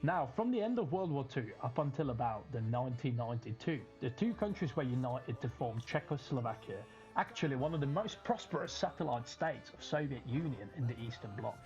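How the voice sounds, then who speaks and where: A man's voice: mid-range voice, lively intonation, a little fast, a little mellow, muffled recording. Emphatic man over music. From Slovakia. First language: Slovak. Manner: emphatic, colloquial, rhetorical questions. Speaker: video host. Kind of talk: informal explainer narration to camera. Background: background music.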